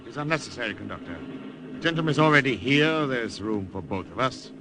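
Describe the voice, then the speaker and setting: An actor playing an imperious field marshal in a 1940s radio drama, clipped and commanding. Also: male voice, medium pitch, expressive, fast, pushed and bright, a little hiss or hum. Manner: commanding, clipped, coolly polite. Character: imperious German field marshal, middle-aged man.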